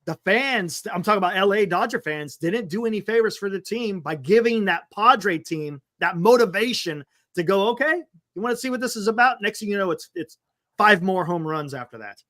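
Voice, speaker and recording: A man's voice: sits high, animated, normal speed, bright, forceful tone, no echo, clean studio sound. An American man co-hosting a casual sports talk show, speaking in a blunt, colloquial way.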